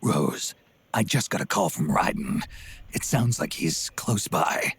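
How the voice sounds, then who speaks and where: An actor playing a gruff, weary older soldier in a video game, gravel-voiced, terse and suspicious. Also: male voice, on the low side, animated, unhurried, exaggerated voice. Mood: subdued. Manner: terse, questioning. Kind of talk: cinematic video game acting.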